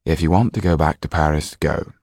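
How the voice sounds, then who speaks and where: A man voicing a gruff, reserved middle-aged gentleman who speaks bluntly and curtly, with a husky voice. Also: a bass voice, fairly quick, no echo, studio quality. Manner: blunt, curt.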